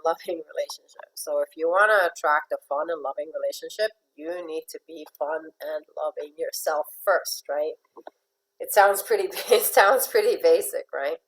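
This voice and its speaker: Woman from Venezuela, low-pitched voice; a life coach giving a friendly motivational talk, repeating words and laughing lightly. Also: fairly bright tone, rough recording.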